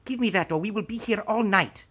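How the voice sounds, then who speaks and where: A man's voice: high voice, a little fast, fairly bright tone, voice pushed up. A man voicing an ancient male martial arts master who speaks in ornate, courtly phrases. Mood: impatient.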